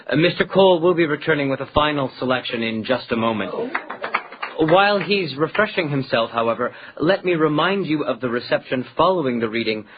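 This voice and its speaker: A man's voice: on the high side, expressive, brisk, a crowd in the background, close to an everyday voice. A man playing a polite, deferential poet's assistant in a radio drama, announcing formally and a little self-effacingly. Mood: courteous, formal. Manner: courteous, slightly self-effacing. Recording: muffled, with clear background noise.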